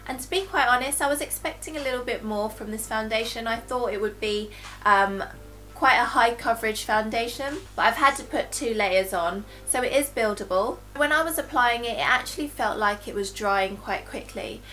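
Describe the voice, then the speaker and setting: A woman's voice: on the high side, pushed and bright. A woman reviewing makeup in a casual, chatty way, sounding a little nasal from a cold. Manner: chatty, talks while applying makeup. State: nasal from recovering flu. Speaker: beauty vlogger. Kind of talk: casual product review and demo.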